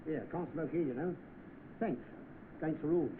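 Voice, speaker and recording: Man as cynical British garage worker, chatty, blunt, tinny old film sound, clear noise. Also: fairly high pitch, moderate pace, close to an everyday voice. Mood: knowing, cynical.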